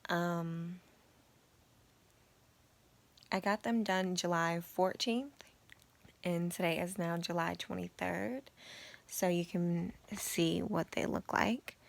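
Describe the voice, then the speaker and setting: A young woman vlogging about her beauty routine in a casual, chatty way, with a raspy voice and lots of fillers. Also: lowish voice, slow. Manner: chatty, relaxed and informal, with many fillers. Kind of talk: casual chat to camera.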